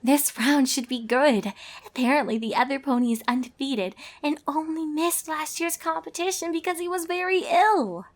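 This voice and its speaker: A woman voicing a brainy, mild young female pony, speaking in an earnest, hushed, matter-of-fact way in a story reading. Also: high-pitched, steady tone, moderate pace, pitched above the performer's own voice, natural voice. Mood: explaining.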